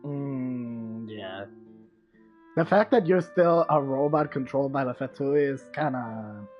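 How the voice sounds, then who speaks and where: A woman with a low voice chats casually as she plays a game. The mic sounds dull and lo-fi, with faint music behind. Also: expressive, slow. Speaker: streamer playing a game. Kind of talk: casual gameplay commentary.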